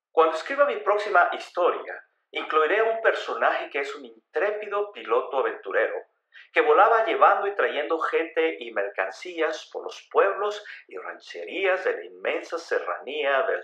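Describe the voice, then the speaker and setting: A man reading a scripted story in a measured, dramatic storytelling way with emphatic phrasing. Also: medium pitch, pushed and bright. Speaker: narrator of a radio story. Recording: roomy, echoey voice recording.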